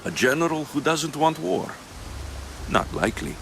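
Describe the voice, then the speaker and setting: An actor playing a stubborn, skeptical young Greek mercenary warrior in a video game, terse and forceful. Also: male voice, fairly high pitch, very animated, moderate pace, vocal fry, noisy background. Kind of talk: video game cutscene acting.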